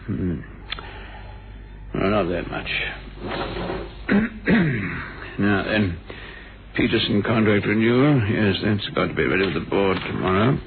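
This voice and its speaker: A preoccupied businessman of radio drama, mutters in clipped, brusque phrases. His voice is gravelly and swings widely in pitch. The old recording is thin and noisy. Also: sing-song, takes it slowly, pauses often, phone-quality sound, crowd noise, full character voice. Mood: preoccupied muttering. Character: busy, brusque married businessman in a mystery. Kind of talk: 1970s radio drama acting.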